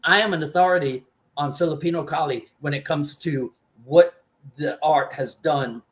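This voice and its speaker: A man's voice: on the high side, normal speed, fairly bright tone. A man from the Caribbean, a martial arts instructor talking in a casual discussion, thoughtful and full of restarts. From Trinidad, raised in Barbados. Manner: thoughtful, restarts often, stresses key points.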